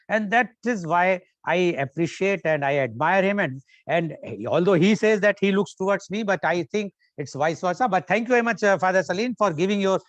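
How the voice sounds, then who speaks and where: An older man hosting a panel, talking haltingly and warmly praising his guest. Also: high voice, dry recording. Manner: halting, effusively appreciative, many fillers. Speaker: host and moderator. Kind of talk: panel talk on a video call.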